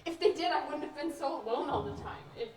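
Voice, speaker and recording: A lonely, defensive young woman in a stage play, played by a student actress. Her voice is high and sounds distant in a roomy, echoing space. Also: expressive, fast, a crowd in the background. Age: young adult. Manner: guarded, defensive. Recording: echoey room, far from the mic.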